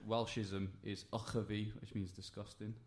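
A man's voice: on the low side, moderate pace. Laughing Mancunian man in banter. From South Wales. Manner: laughing, jokey, bursts of excitement. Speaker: podcast co-host. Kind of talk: casual chat.

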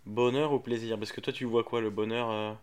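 A man, a comedian guest on a podcast, talking in a playful, animated way, laughing often and acting out little scenes. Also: mid-range voice, fairly quick, clean tone. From Geneva, Switzerland. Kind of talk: casual podcast chat.

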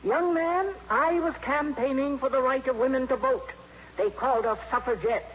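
A woman's voice: sits high, moderate pace, close to an everyday voice. An actress playing a proud, feisty old grandmother in a 1950s radio comedy, speaking in an emphatic, no-nonsense way. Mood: proud, indignant. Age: older. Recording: dull, noisy vintage radio broadcast.

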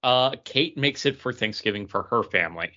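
A man's voice: mid-range voice, animated, normal speed, pushed and bright, lo-fi sound. A man chatting casually and emphatically as a podcast co-host, giving his opinions in a panel ranking.

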